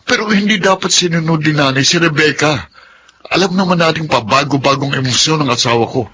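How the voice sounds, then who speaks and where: A man's voice: a little high, brisk, pushed and bright, slight background noise. A man playing a troubled, disapproving young husband and father-to-be in a radio drama. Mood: concerned, disapproving.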